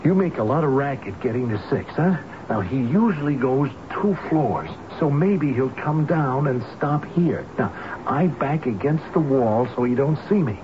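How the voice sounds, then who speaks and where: A man's voice: medium pitch, lively intonation, normal speed, lightly acted. An actor playing a practical, reluctant male workman, plain-spoken and a little hesitant, in a radio drama. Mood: scheming, winded. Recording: noisy, dull old radio broadcast.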